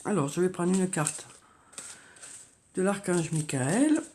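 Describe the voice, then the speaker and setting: A woman's voice: on the low side, sing-song, slow pace. Woman with a cold reading tarot cards. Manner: soft, hesitant, frequent "hein" and "euh", repeats words. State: has a cold, coughing. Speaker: tarot card reader. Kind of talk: monthly horoscope card reading.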